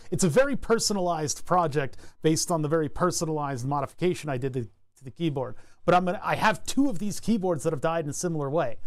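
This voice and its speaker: A man's voice: a little high, no echo. A man streaming live, chatting casually while he designs a circuit board, thinking aloud and often restarting his sentences. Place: home computer desk.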